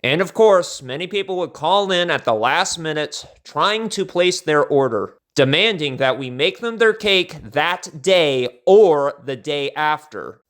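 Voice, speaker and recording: Higher-pitched man telling a story. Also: animated, unhurried, few pauses, fairly bright tone. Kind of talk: scripted personal storytelling narration.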